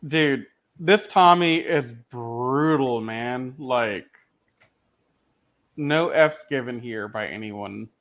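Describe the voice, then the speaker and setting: A man's voice: mid-range voice, slow. A man co-hosting a podcast in casual, opinionated chat, emphatic and slangy, on a dull lo-fi voice track. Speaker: podcast co-host.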